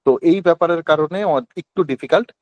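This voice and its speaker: A man's voice: fairly high pitch, brisk, darkish tone, dry recording. Bangladeshi man teaching online, dry sound. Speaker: instructor. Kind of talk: informal online teaching, explanatory. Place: online group call.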